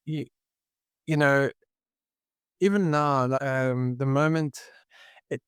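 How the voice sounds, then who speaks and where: A man's voice: medium pitch, fairly even intonation, slow pace, clear, resonant tone, dry recording, studio quality. A South African man with a South African accent, talking reflectively as a podcast guest and often searching for his words. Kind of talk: reflective conversational interview.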